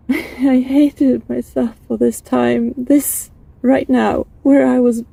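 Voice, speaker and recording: A woman playing a lovesick, desperately devoted former bully from the future in an intimate audio roleplay, speaking haltingly and emotionally in a soft, husky voice. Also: high-pitched, flat delivery, dry recording, exaggerated voice. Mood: grieving, tearful. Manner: halting, emotional, breathy sighs and stammers.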